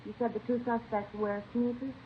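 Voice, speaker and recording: A woman's voice: on the high side, fairly even intonation, normal speed, close to an everyday voice. An actress playing an attentive, respectful young policewoman in a vintage radio crime drama. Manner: crisp, respectful questioning. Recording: muffled, hissy old radio recording.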